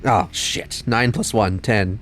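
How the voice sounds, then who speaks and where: A man playing a tabletop game, chatting casually and improvising in a loud, excitable way. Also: fairly low pitch, takes it slowly, soft background music. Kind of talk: casual, improvised role-play chat.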